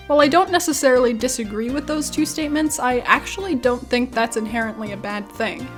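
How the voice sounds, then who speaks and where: High-voiced woman giving video commentary. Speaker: video essayist, YouTube host.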